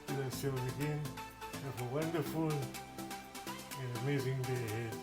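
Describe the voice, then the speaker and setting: A man talks through an informal app demonstration in a mid-pitched voice. His phrasing is halting and circles back on itself. The room adds a noticeable echo. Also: over loud music. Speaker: host of accessibility tech demonstration videos. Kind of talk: informal spoken tutorial walkthrough. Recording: roomy echo.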